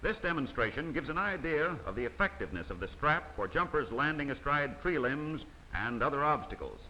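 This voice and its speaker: A man reading scripted narration for an instructional training film in a formal, measured, instructive manner. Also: on the high side, very animated, sharp tone, rough recording. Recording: faint hiss of old 16mm optical soundtrack.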